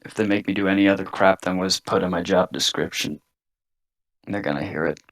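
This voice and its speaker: A man playing a jaded, grumbling scientist in a tabletop roleplaying game, with gruff, profane, world-weary talk. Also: low voice, steady tone, fairly quick, fairly bright tone. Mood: disgruntled. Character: jaded, grumbling male union scientist with medical training. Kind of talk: tabletop roleplaying, improvised dialogue.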